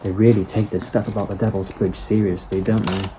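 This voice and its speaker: Noisy ambience sits behind a man playing a fairly low-voiced male companion in an audio drama. He is easily spooked and sceptical, and questions things in a hesitant, anxious way. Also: flat delivery, a little fast, close to an everyday voice. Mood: doubtful, questioning. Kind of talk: fan audio drama acting.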